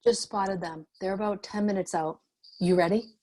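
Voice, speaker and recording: A woman playing a guarded but caring leader in a screenplay table read, measured and matter-of-fact. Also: mid-range voice, fairly even intonation, a little mellow, natural voice. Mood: focused.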